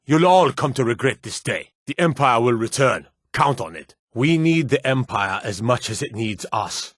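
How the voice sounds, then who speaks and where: An actor playing a proud, stern middle-aged warrior lord in a fantasy game, with a commanding, measured delivery. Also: male voice, medium pitch, lively intonation, slightly rough, no echo, studio quality. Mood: solemn, resolute. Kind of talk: scripted fantasy game dialogue.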